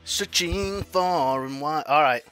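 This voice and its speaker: A man in his forties commenting on his own online fighting game matches, swinging from low mutters to loud yells, laughing and cursing at himself and his opponent. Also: slow pace, faint music behind. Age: 40s. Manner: profane, self-mocking trash talk. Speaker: gaming YouTuber. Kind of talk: live gameplay commentary.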